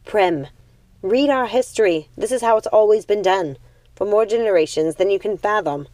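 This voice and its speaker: A woman voicing a calm, pragmatic young witch sister in a storybook, measured and matter-of-fact. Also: medium pitch, no room sound, close to an everyday voice. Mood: coolly resolute.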